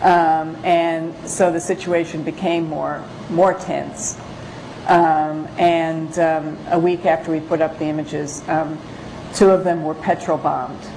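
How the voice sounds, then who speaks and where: A woman photographer giving an informal lecture about her work, speaking haltingly with frequent pauses. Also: low-pitched, level tone, a little mellow, slight background noise, low-quality recording. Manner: halting, hesitant, pausing often. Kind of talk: informal slide lecture with read-out excerpts.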